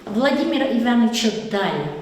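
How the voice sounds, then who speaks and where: A middle-aged woman teaches a class in a high, bright voice. She keeps asking the pupils questions and praising their answers. The sound rings around the room and reaches a distant camera mic. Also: big pitch swings, fast. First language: Lezgian. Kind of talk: classroom lesson. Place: echoey classroom. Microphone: far camera. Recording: echoey room picked up from far off.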